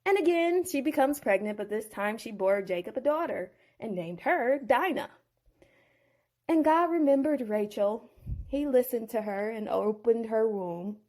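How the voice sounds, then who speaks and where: Chatty storyteller, woman, unhurried, animated pitch, playful asides. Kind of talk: casual bible story retelling.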